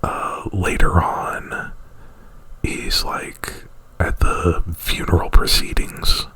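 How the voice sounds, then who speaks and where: A man whispering an ASMR retelling of a movie plot right up at the mic, in a halting, rambling, informal way. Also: sharp tone. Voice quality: breathy whisper. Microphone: very close to the mic.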